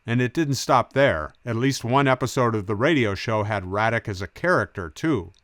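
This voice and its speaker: A man's voice: medium pitch, lively intonation, normal speed, fairly bright tone. A man hosting a scripted video essay in a conversational style, as a film commentator.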